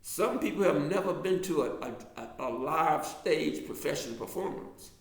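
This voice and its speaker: A man's voice: a little high, expressive, moderate pace, creaky, reverberant. An older man from the US South with a mild Southern American accent, an author and lecturer talking to a class in a rambling way, full of restarts and fillers. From Alabama, US South. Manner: digressive, many restarts and fillers.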